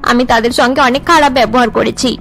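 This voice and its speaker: A woman's voice: on the high side, steady tone, fast talker, fairly bright tone, faint music behind. A woman voicing a sad, self-blaming married woman in a melodramatic cartoon, with a plaintive, regretful manner. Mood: remorseful, sad.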